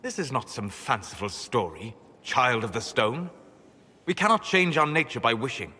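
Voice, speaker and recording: An actor playing a calm, learned male elf mage who talks in a precise, formal way. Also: mid-range voice, normal speed, husky. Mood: stern.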